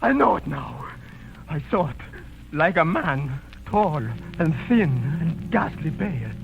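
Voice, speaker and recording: An actor playing a terrified, half-mad ship's mate, speaking in feverish, halting bursts in 1930s radio drama style. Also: male voice, on the high side, big pitch swings, gravelly, music in the background, exaggerated voice. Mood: frantic, crazed. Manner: feverish, halting, intense delivery. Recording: muffled, noisy old radio recording.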